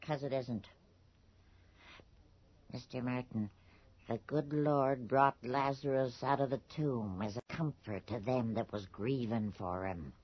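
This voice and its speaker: A woman's voice: rumbling low, slow pace, exaggerated voice. An actress playing an anxious, gossipy rural townswoman in a 1980s radio horror drama, speaking in a folksy, ominous way. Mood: grim, accusing. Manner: folksy ungrammatical speech, hushed and ominous. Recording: dull lo-fi radio drama recording.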